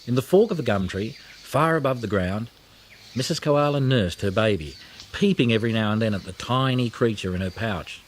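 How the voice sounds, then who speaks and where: A man narrating a children's audiobook with expressive storytelling delivery. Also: on the low side, normal speed, a little hiss or hum.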